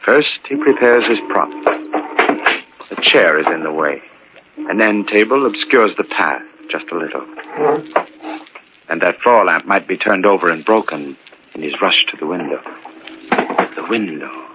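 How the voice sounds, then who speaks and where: A man narrating an old-time radio mystery in a deliberate, ominous, scripted style. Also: low voice, lively intonation. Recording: very noisy, dull old radio recording.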